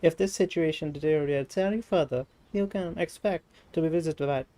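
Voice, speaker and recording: An Indian man voicing a haughty, lecturing shrine maiden from a video game, impatient and sharp-tongued. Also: on the high side, brisk, close to an everyday voice. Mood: exasperated, insistent.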